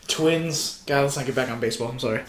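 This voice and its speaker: A young man co-hosting a casual sports podcast, trading laid-back, wry banter. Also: medium pitch. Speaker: podcast co-host. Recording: echoey room, faint noise.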